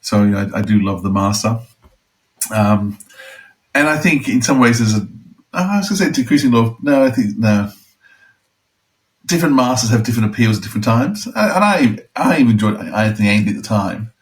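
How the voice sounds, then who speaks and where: A man chatting casually as a podcast co-host, thinking aloud in a halting way. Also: fairly low pitch, lively intonation, low-quality recording. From outside the UK.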